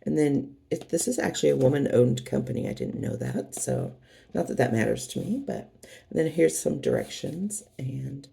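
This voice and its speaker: Hoarse, chatty woman with a gravelly low voice. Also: animated, moderate pace, mellow. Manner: rambling, chatty, many fillers and restarts. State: hoarse from a cold. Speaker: craft channel host. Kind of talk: casual unboxing vlog.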